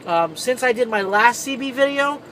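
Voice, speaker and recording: Male tutorial host, high voice, informal trucker talking viewers through a how-to, recorded in a semi cab over faint vehicle noise. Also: animated, normal speed, fairly bright tone. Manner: informal, conversational, addressing viewers directly. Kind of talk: casual hands-on tutorial.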